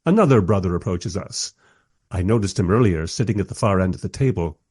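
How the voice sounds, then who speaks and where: A man playing a wry documentary maker, narrating a radio play in the first person with expressive, husky delivery. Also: on the low side, no echo, clean studio sound. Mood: wry, enthusiastic. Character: wry, enthusiastic documentary maker narrating his historical encounters.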